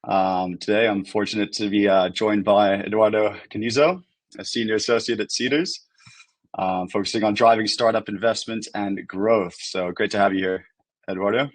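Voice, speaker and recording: A man's voice: on the low side, fairly even intonation, moderate pace, no room sound. A man hosting a webinar interview, asking questions in a loose manner full of fillers. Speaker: webinar host.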